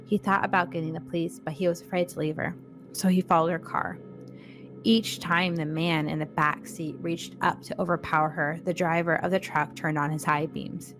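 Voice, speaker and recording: Female read-along host, lowish register, informal and direct with the audience, light music bed. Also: little pitch movement, normal speed, a bit bright. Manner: informal, addresses listeners directly. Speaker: podcast co-host and story reader. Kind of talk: read-along of a scary short story with chatty intro.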